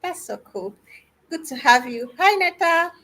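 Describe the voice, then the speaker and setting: A woman's voice: extremely high pitch, expressive, a little slow, sharp tone, rough recording. A woman hosting an online panel in a chatty, exclamatory way over a video call.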